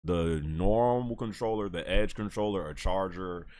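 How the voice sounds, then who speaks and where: A man hosting a livestream and taking callers, talking in casual chat, animated and full of fillers and restarts. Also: sits low, measured pace. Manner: animated, laughs often, many fillers and restarts.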